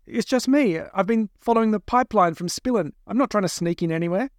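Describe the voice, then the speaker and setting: A man reads the part of a frightened male captive in an audiobook. His voice is pushed high and close, with little room around it. He protests and pleads defensively, stumbling over his words. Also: fast, no room sound, natural voice. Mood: frightened, protesting. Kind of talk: dramatised audiobook dialogue.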